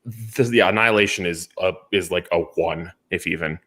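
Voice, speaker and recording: Man, lowish voice, casual panelist, conversational debating style. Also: moderate pace, a bit bright. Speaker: panelist on a movie review show.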